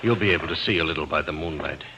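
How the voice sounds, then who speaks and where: An actor playing a wealthy, grimly composed client under threat in an old-time radio mystery. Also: male voice, a bass voice, racing. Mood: grim, urgent. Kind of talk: 1940s radio drama acting. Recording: noisy old radio recording.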